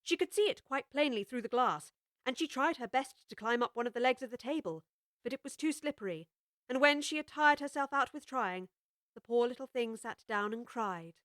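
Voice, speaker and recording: A woman reading scripted storybook narration in a measured, expressive way. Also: on the high side, no echo, clean studio sound. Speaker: audiobook narrator.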